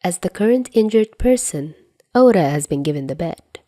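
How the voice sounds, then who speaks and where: A woman reading fiction aloud as an expressive storyteller. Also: fairly low pitch, moderate pace, no room sound, studio quality. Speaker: podfic narrator.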